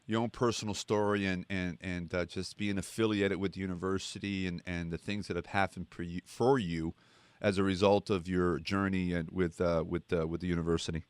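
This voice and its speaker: Smooth-voiced male radio host. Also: low voice, flat delivery, no room sound. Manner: halting, many uhs and restarts. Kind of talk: interview hosting.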